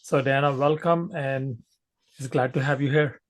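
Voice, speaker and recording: A man hosting a podcast, who asks questions in a measured way with many fillers. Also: mid-range voice, fairly even intonation, rough recording. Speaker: podcast host. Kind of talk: podcast interviewing.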